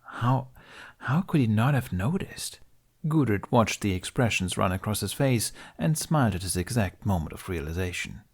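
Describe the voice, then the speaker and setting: Guy with a fairly low voice reading an audiobook story. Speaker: audiobook narrator. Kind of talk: scripted story narration.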